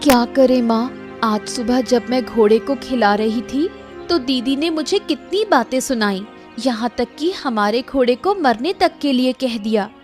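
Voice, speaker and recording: A woman voicing a caring, patient young daughter-in-law in a cartoon story, gentle and solicitous. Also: on the high side, a little slow, soft background music, close to an everyday voice. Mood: hurt, resigned. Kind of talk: animated moral story acting.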